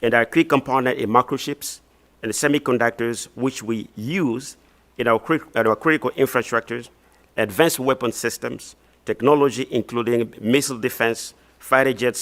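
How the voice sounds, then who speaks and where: A man from Congo in his thirties, reading formal prepared testimony as a witness at a hearing, measured with occasional restarts. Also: mid-range voice, steady tone, a bit bright. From Democratic Republic of the Congo. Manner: measured, reads prepared text with some restarts.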